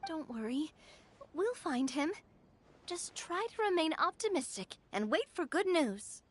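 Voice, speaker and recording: A woman's voice: sits high, very animated, a little slow. A woman voicing a cheerful, optimistic young woman game character who talks in a bubbly, chatty way. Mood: reassuring. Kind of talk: video game voice acting.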